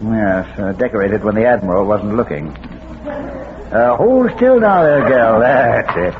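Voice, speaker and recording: Genteel wry gentleman, courteous, hesitant, lilting intonation, tinny noisy vintage radio drama. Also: on the low side, sing-song, slow pace. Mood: coaxing, fussing. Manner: hesitant, dryly witty, courteous. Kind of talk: old-time radio drama acting.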